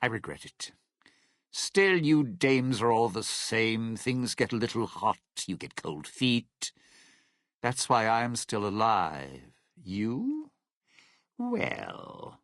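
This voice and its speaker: An actor playing a cynical, self-serving lounge singer in a video game, with a raspy, gravelly voice and a wry, theatrical, menacing delivery. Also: male voice, on the low side, wildly swooping pitch, slow pace, a bit bright, no room sound, studio quality, heavily acted. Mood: cold, menacing. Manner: wry, theatrical, hesitant muttering turning to threats. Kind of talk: video game character dialogue.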